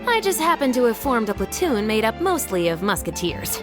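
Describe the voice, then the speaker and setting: A woman's voice: on the high side, very animated, a little fast. A woman voicing a weary, practical female patrol captain in a game, dry and matter-of-fact, over game music. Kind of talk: video game voice acting.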